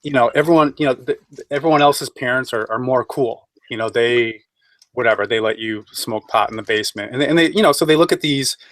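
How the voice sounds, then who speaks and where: Guy on a group call, mid pitch, halting and repetitive, dry sound. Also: a bit bright, no room sound. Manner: halting, circling with repeated phrases. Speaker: panelist. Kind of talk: casual political discussion. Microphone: online group voice call.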